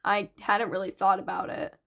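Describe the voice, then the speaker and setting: A woman voicing a shy, soft-spoken teenage schoolboy with a hesitant, subdued manner in an audiobook. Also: medium pitch, level tone, moderate pace, gravelly, voice pushed down. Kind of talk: audiobook character dialogue.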